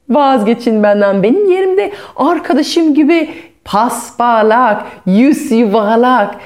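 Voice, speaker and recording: A woman's voice: high-pitched, mellow, voice pushed up. A woman voicing a sad, ashamed cracked water pot in a storybook tale, pleading and apologizing in repeated phrases.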